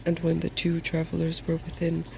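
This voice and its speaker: A soft-spoken man reading a fairy tale as an ASMR storyteller. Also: a little high, completely flat delivery, moderate pace, vocal fry, slight background noise.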